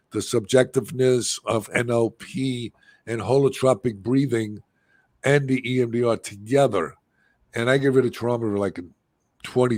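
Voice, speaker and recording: A man's voice: mid-range voice, darkish tone, dry recording. A man in his seventies hosting an interview, talking in a rambling, chatty way with frequent laughs. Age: 70s. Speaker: show host. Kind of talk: casual interview chat.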